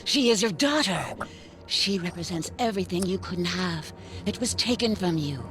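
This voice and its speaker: A woman's voice: fairly low pitch, expressive, moderate pace, a crowd in the background, natural voice. An actress playing a menacing, vengeful and manipulative woman villain in a video game, commanding and theatrical. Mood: insistent, manipulative. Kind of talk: dramatic video game voice acting. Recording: dramatic music behind the voice.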